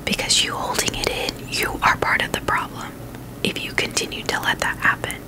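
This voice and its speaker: A woman whispering in a breathy, unvoiced voice, chatting casually and haltingly in a gentle ASMR style. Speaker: ASMR video host.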